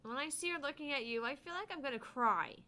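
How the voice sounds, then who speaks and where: A woman voicing a lively, concerned young female friend in a visual novel, earnest and expressive. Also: high voice, fast.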